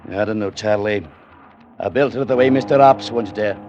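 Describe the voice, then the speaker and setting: An actor playing a weary, troubled shipbuilder, plainspoken and exacting, in a classic radio drama. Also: male voice, fairly low pitch, moderate pace, darkish tone, highs cut off, natural voice. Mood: uneasy, weary. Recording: old radio recording with faint noise.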